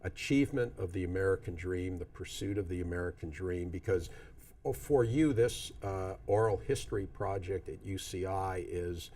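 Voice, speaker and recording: A man hosting a TV talk show, asking interview questions with halting restarts and fillers. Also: deep voice, darkish tone. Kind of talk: studio interview.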